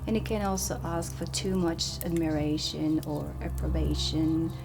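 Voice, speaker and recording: A woman giving a soft-spoken ASMR roleplay lesson as a witch teacher, explaining in a measured way and dropping into whispers. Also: deep voice, fairly even intonation, gravelly. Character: stern female dark witch teaching at a magic academy. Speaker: ASMR performer playing a teacher. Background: ambient music.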